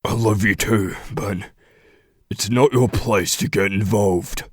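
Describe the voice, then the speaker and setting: Low male voice, actor as troubled fantasy pack leader, formal, earnest, commanding, dry sound. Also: slow, husky, no echo. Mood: guarded, pained. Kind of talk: fantasy audio drama acting.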